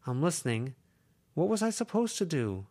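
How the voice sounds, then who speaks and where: A man voicing a quiet, flippant teenage girl who speaks flatly in an audiobook. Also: a little high, normal speed, voice pushed up. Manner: quiet, flat, mildly flippant.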